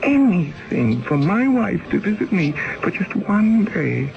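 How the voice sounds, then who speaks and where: Desperate young convict, high agitated voice. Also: male voice, sing-song, creaky, heavily acted. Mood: anguished pleading. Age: young adult. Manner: agitated, halting with repeated words. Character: desperate, pleading 28-year-old exiled convict, self-educated peasant. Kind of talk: old-time radio drama acting. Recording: dull, noisy old radio recording.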